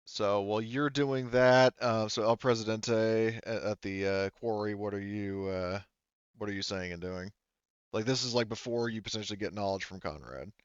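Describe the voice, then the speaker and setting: A man running a tabletop roleplaying game as game master, narrating off the cuff in a halting, think-aloud way. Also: on the low side, fairly even intonation, clear, resonant tone, no echo, highs cut off.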